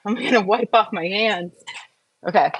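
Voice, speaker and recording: A woman's voice: medium pitch, normal speed, fairly bright tone, slight background noise, poor audio. Chatty, rambling woman vlogger. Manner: rambling, self-interrupting, easily distracted. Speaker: skincare and wellness vlogger. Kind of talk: casual chatty live Q&A and product review.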